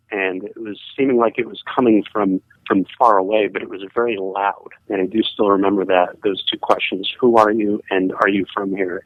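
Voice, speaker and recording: A man calling in to tell a ghost story in a casual, halting way, full of hedging fillers, over the phone. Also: fairly low pitch, no echo. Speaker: caller sharing a ghost story. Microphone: telephone. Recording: tinny phone line.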